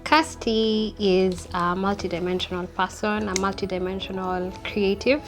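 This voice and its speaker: A young woman telling her life story in an interview, reflective and unhurried, often repeating words for emphasis. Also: mid-range voice, little pitch movement, music in the background. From Kenya. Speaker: interview guest.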